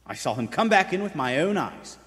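An actor playing an earnest, troubled young police detective in a radio play. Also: male voice, on the high side, very animated, a little fast, fairly bright tone. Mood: earnest, pressing. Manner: urgent, earnest. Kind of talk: stage radio play acting. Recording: echoey theatre hall.

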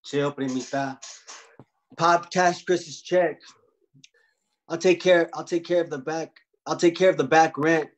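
A man's voice: on the high side, fairly even intonation, measured pace, long pauses, natural voice, rough recording. A man acting a defensive, streetwise young guy in a comedy table read, stammering and repeating himself in slangy speech on a video call. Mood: casual, evasive. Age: young adult. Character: defensive, blustering grown man, streetwise cousin. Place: home room on a video call. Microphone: laptop microphone.

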